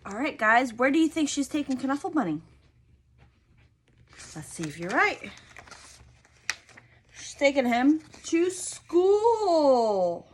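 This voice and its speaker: Slow, expressive woman reading to children. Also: sits high. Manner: expressive, prompting listeners with questions. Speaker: storyteller reading a picture book to children. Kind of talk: read-aloud with questions to young listeners.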